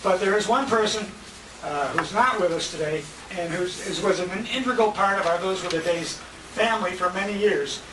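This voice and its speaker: An older American man speaks as emcee at a banquet tribute, in a voice pitched high for a man. The sound comes from a handheld camera far from him, with strong room echo and clear background noise. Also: moderate pace. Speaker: emcee and radio show host. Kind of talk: live tribute speech at a dinner. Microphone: distant handheld compact camera.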